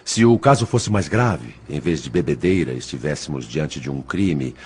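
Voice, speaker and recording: Man reading as an earnest, deep-voiced questioner. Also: animated, normal speed, a little hiss or hum. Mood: perplexed, earnest. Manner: formal, respectful, questioning. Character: troubled, earnest man questioning a spiritual mentor.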